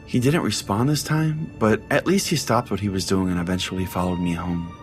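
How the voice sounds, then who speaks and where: A man's voice: sits low, fairly even intonation, a little fast, lightly acted. A man playing a brash, foul-mouthed pastor, telling a comic story in the first person as a podcast host. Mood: grim. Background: prominent music.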